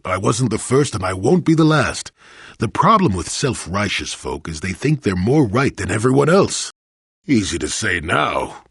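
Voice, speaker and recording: Wanted: gruff elderly ex-warrior in a video game, deep and raspy, wry and rueful, with big dramatic swings. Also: male voice, sing-song, moderate pace, a bit bright, no room sound, studio quality, heavily acted. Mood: wistful, wry. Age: older. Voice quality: gravelly, husky. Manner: wry, rambling, dramatic storyteller. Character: gruff, rueful, cantankerous old male former Jedi. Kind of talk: scripted video game voice acting.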